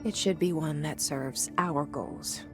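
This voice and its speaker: Low-voiced, determined young woman director. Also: takes it slowly, over music. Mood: resolute, reflective. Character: young woman bureau director, determined, self-questioning, wry. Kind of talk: video game dialogue and inner monologue.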